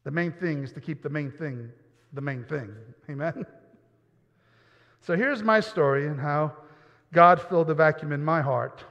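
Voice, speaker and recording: A man's voice: a little high, a little slow, long pauses, fairly bright tone. A man from New York giving a personal testimony sermon in a church, earnest and often repeating words as he goes. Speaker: pastor. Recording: dull sound with long hall reverb.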